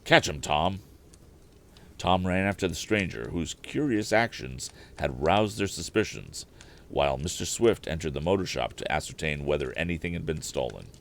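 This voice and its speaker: A man with a very deep voice reads an old adventure novel as a live storyteller. His delivery is expressive, with pitch that moves around a lot, in the manner of an audiobook. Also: normal speed, gravelly. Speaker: storyteller, live stream host.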